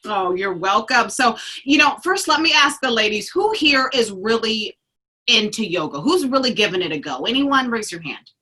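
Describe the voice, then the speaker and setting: A woman's voice: fairly high pitch, expressive, normal speed, pushed and bright, slightly rough. A woman hosting a talk show, bubbly and playful, joking about herself as she introduces a guest. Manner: bubbly, playful, self-deprecating. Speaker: show host. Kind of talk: casual interview chat.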